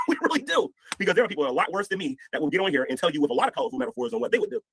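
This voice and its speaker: A man's voice: sits high, sing-song, very fast, vocal fry, fairly bright tone, a little hiss or hum. An older man from the United States with a head cold, talking casually on a livestream and preaching with scripture quotes. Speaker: streamer.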